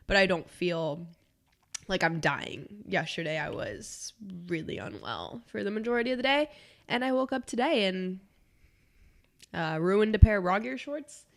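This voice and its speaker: A woman's voice: mid-range voice, sing-song, a little slow, gravelly. Congested young woman chatting. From Miami, Florida. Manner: chatty, many "like" fillers. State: congested, stuffy nose. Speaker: podcast co-host. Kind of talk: casual podcast chat.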